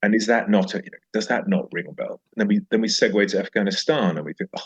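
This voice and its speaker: A man's voice: fairly low pitch, fast, husky. A middle-aged man speaking as a podcast guest in an emphatic literary discussion, repeating phrases and posing rhetorical questions, on a dull recording. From South Africa. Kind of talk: animated conversational literary discussion.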